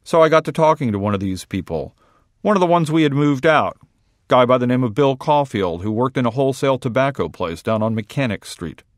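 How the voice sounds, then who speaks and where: Deadpan man reading a story. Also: mid-range voice, dry recording, studio quality. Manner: measured, deadpan first-person narration. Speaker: reader. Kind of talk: literary fiction reading.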